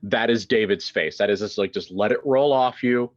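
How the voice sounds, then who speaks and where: A man improvising narration for a tabletop role-playing game in a halting way, often repeating words as he searches for the next one. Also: fairly low pitch, dull audio. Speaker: tabletop role-player narrating his character. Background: background music.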